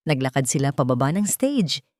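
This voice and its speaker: A woman narrating a scripted romantic drama story in a steady, even reading manner. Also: a bass voice, moderate pace, dry recording, clean studio sound.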